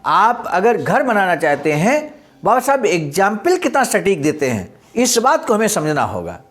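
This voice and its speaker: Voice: medium pitch, huge pitch swings, normal speed. Didactic presenter reading and explaining a speech. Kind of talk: read-aloud speech with explanatory commentary.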